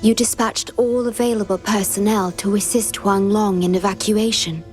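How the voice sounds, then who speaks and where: An actress playing a gentle, solemn guardian with a measured, soft delivery in a video game. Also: female voice, medium pitch, steady tone, soft background music, lightly acted.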